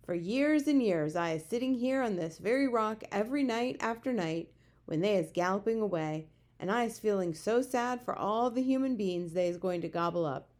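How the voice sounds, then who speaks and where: Woman acting a gentle, gloomy giant with muddled words. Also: medium pitch, animated, normal speed, natural voice. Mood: resigned, sad. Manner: muddled homespun grammar with invented words. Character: big friendly giant, male, gentle, gloomy, word-mangling. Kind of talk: children's storybook character reading.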